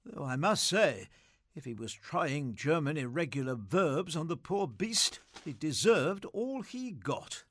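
A man playing a wry gentleman in an audio play, measured in manner. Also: on the high side, very animated, full character voice. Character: wry English gentleman reading a newspaper aloud.

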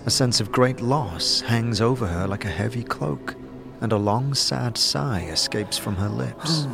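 A man's voice: mid-range voice, measured pace, creaky. A man narrating a scripted fantasy audio drama in the second person, in a descriptive storyteller's manner. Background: music.

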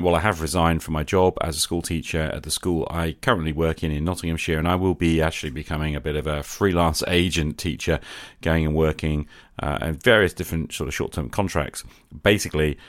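A middle-aged man with a low-pitched voice, recorded close and dry. He hosts a podcast, chatting informally and with enthusiasm, as if to regular listeners. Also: no room sound. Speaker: podcast host. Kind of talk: casual solo podcast talk.

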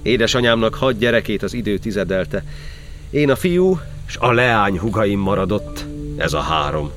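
A humble devout priest reciting memories in verse, low voice that swings a lot, music behind. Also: male voice, lively intonation, a bit bright. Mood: reverent, reminiscing. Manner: solemn, poetic declamation. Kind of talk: theatrical verse monologue in audio drama.

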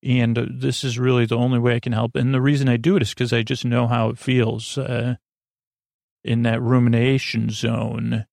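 A man hosting a sleep podcast, rambling gently and goofily off topic with lots of fillers to keep listeners company. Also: medium pitch, gravelly, dry recording, clean studio sound. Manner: meandering, goofy, filler-heavy. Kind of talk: rambling bedtime monologue.

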